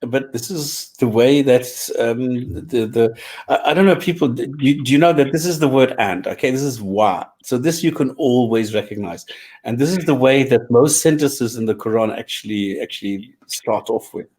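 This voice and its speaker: Casting: male co-host, mid-pitched, measured, given to repeating himself while he clarifies. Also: a little mellow. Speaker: podcast co-host. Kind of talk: casual discussion, reading translations.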